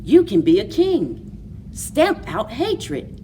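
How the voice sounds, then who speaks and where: A woman hosting a children's story time, speaking in a deliberate, expressive read-aloud manner for young listeners. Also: fairly high pitch, far from the mic, a little hiss or hum. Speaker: library story time host.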